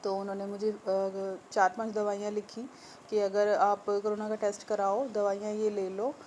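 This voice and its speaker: A woman from India, ill with a sore throat, talking to her viewers in a hesitant, subdued way with many pauses and fillers. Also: mid-range voice, monotone, a little mellow, slight background noise. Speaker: vlogger. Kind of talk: casual vlog update to viewers.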